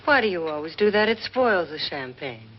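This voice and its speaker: An actress playing a cool, disdainful, sharp-tongued wife in a 1950s horror film, speaking in a clipped, cutting way. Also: female voice, on the low side, big pitch swings, moderate pace. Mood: cold. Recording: dull, noisy vintage film soundtrack.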